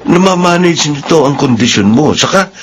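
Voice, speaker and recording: A man's voice: on the high side, expressive, normal speed, background noise, lightly acted. A man playing a troubled, pleading husband and father in a radio drama, speaking earnestly. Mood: pleading, distressed.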